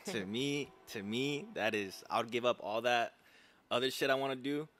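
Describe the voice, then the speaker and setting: A man's voice: mid-range voice, normal speed, fairly bright tone. A man in his twenties hosting a podcast, talking in a casual, slangy, playfully teasing way. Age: 20s. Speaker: podcast host. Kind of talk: casual interview chat.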